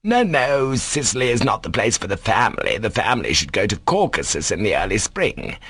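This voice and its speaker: A man's voice: fairly low pitch, sing-song, fairly quick, a bit bright, husky, dry recording, full character voice. A man voicing a pompous, easily angered doctor in a storybook, haughty and prone to stammer and repeat himself. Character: pompous, easily enraged male doctor, sputtering with indignation.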